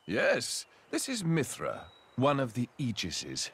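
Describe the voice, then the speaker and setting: An actor playing a gentle, polite and refined young prince in a game cutscene, with music behind him. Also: male voice, mid-range voice, wildly swooping pitch, slow pace, breathy edge, heavily acted. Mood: friendly, teasing. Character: gentle, courteous young prince, thoughtful and friendly. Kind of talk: video game cutscene acting.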